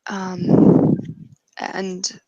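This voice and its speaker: A woman improvising narration for a tabletop roleplaying game in a halting, tentative way. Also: fairly low pitch, monotone, mellow, muffled recording. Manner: halting, tentative, piecing sentences together.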